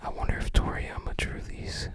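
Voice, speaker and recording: Whispering ASMR host, casual and musing. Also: moderate pace. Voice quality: soft unvoiced whisper. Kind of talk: whispered ASMR unboxing commentary.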